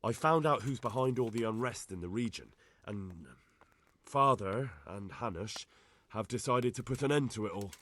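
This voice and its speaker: A man's voice: lowish voice, moderate pace, a bit bright. An actor playing an earnest young man bringing urgent news in a game cutscene, plain-spoken. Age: young adult. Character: earnest young man, a lord's son and messenger. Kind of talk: video game cutscene acting.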